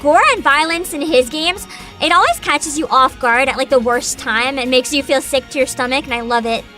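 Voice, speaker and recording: A woman's voice: sits high, normal speed, bright, forceful tone, faint music behind. A woman hosting a livestream, chatting casually and reading bits aloud in a bubbly, enthusiastic manner. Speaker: virtual streamer host.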